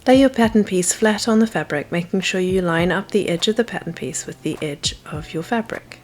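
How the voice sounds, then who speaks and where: A woman's voice: on the low side, brisk, a little mellow. A woman giving scripted, step-by-step instructions as a sewing tutor. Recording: faint music under the voice. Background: faint music.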